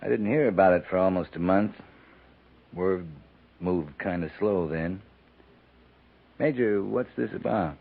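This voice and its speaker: An actor playing a weary, laconic frontier cavalry captain with dry humor in a 1950s radio western. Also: male voice, sits low, measured pace, vocal fry, pauses often, heavily acted. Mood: guarded, dry. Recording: tinny, hissy 1950s radio recording.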